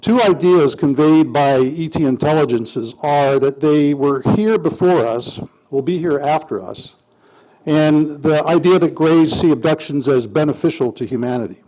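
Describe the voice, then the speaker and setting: A man hosting an event, reading a prepared introduction of the guest speaker in a formal, measured way. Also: on the high side, dark tone, rough recording.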